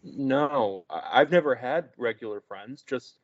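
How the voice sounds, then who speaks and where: A man reading a sheltered, childlike young man at a table read, asking halting, stammering questions. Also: mid-range voice, expressive, dull audio. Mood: naive.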